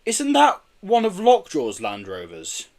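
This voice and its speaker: A man voicing an earnest, excitable young boy in a storybook, with exclaiming delivery. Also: high voice, a little slow, voice pushed up, exaggerated voice. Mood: eager. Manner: urgent, exclaiming.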